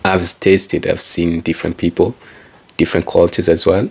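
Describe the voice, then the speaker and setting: A man speaking in English, his first language being Kikongo, delivers a meandering motivational talk. His voice is very low and full of vocal fry. It has a husky, rough grain. He keeps circling back to the same phrases. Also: little pitch movement, moderate pace, a little mellow. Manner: calls out to listeners like a presenter. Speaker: author and speaker. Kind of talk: motivational monologue.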